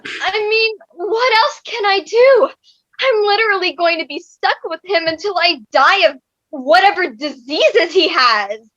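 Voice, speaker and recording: A woman's voice: very high-pitched, animated, measured pace, a bit bright, lo-fi sound, exaggerated voice. A woman playing a bitter, sarcastic young wife in a comic staged reading, with melodramatic exasperation. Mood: despairing.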